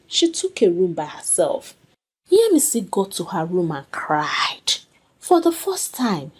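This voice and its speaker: A woman tells a scripted folktale in a dramatic storytelling style. Her voice sits a little low and has a husky grain. The pitch rises and falls in a lively way.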